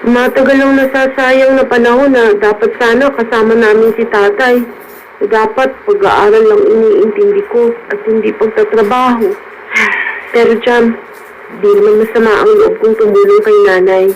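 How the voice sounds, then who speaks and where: A woman's voice: on the high side, steady tone, moderate pace, slight background noise, natural voice, poor audio. A woman playing a dutiful, wistful young daughter in a radio drama, speaking earnestly and politely. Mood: impatient, wistful.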